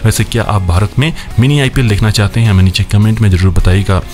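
A man hosting a cricket news roundup, reading a scripted voice-over in a rapid, steady newsreader delivery and addressing viewers as friends. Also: fairly low pitch, faint music behind. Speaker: cricket news channel host.

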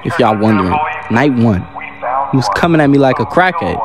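A man's voice: mid-range voice, expressive, fairly quick, a loud crowd. A young man giving casual, joking, exclamatory commentary on a horror game in slangy speech. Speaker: gamer making videos.

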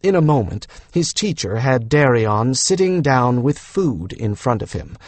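A man's voice: mid-range voice, sing-song, a little slow, dry recording. A man reading a fantasy novel aloud as a scripted audiobook narration.

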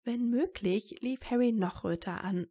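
A woman's voice: a little high, measured pace, dark tone. A woman reading scripted narration as the narrator of a fan fiction story.

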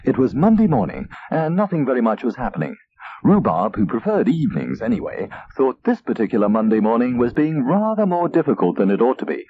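A man reading a children's story as narrator in an expressive, theatrical way. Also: medium pitch, brisk, breathy edge. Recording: dull tape transfer, faint noise.

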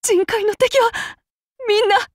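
A voice actress playing a courteous, archaic-spoken samurai woman with a demon side, her soft formal speech giving way to shrill battle cries. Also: fairly even intonation, moderate pace, no room sound, studio quality. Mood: frantic, pleading. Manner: courteous and archaic with a menacing undertone. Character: polite, bloodthirsty demon-blooded samurai woman. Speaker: voice actress for a game character. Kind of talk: game character voice lines.

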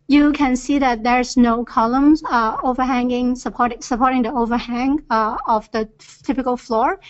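A woman presenting engineering field observations on a webinar, with frequent hesitant fillers. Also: a little high. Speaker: earthquake reconnaissance presenter. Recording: dull lo-fi webinar audio.

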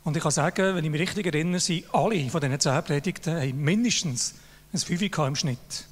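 A man's voice: a little high, fast. An older man with a Swiss German accent, speaking into a handheld mic on a stage in a large hall, presenting a prize in an informal, praising way. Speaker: jury member presenting an award. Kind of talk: Swiss German laudatory speech. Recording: hall reverb.

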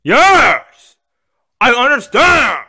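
A man voicing a rude, whiny young boy in a homemade puppet-style story, yelling in high bursts. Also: huge pitch swings, drawn-out words, creaky, breathy edge, full character voice. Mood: irritated yelling. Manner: whiny, defiant, yelling outbursts.

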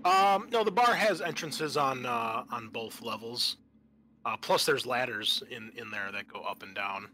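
Bright-voiced man running a tabletop game. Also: mid-range voice, unhurried, a little hiss or hum, poor audio. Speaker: game master. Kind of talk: casual tabletop game narration.

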